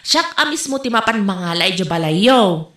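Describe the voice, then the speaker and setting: A woman's voice: medium pitch, very animated, normal speed, a bit bright. A woman with a Filipino accent playing a brash, greedy pig trader, sassy and pushy, in an audio drama. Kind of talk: amateur audio drama dialogue. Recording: roomy echo, faint music behind.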